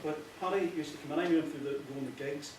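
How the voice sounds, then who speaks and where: A man with a Northern Irish accent giving an informal, earnest speech, often halting and repeating himself. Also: a little high. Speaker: playwright giving a thank-you speech. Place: echoey indoor hall before an audience. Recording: very echoey, distant, noisy with clicks.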